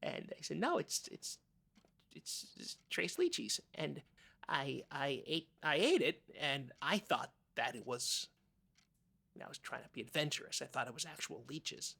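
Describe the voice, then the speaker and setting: A man's voice: on the high side, sing-song, unhurried, gravelly, bright, forceful tone, breathy edge, exaggerated voice. A man playing a timid, earnest, easily offended small-town podcast co-host in improvised comedy, speaking hesitantly and stammering. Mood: sheepish recounting.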